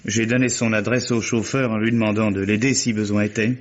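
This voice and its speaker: A man playing a composed, bereaved nephew in a French radio mystery play, speaking in a measured, polite, articulate way. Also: on the low side, level tone, natural voice. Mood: composed, explanatory. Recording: dull lo-fi radio recording.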